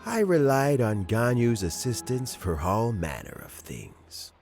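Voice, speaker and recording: An actor playing an elderly, dignified statesman in a video game, gravelly, formal and reflective in a deliberate way. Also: mid-range voice, big pitch swings, darkish tone, soft background music.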